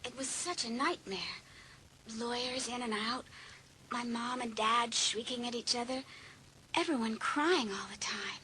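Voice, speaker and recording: A woman acting emotional, excitable teenage girls in a dramatised audiobook. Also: fairly high pitch, a little slow, slight background noise, rough recording. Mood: soft, confiding. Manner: excitable, exclamatory.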